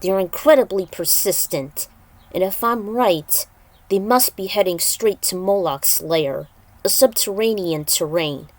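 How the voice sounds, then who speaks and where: Woman playing stern male fighter, weary, grave, formal, unhurried storybook delivery, dry recording. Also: mid-range voice, dry recording, close to an everyday voice. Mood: grave, resigned. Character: stern, weary male warrior, cautious team leader.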